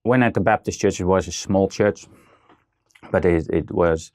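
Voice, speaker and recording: A man's voice: deep voice, normal speed, a little mellow. A man in his thirties with a Dutch accent, speaking as an interview guest in a hesitant way, with many fillers and restarts. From Netherlands. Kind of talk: casual interview talk.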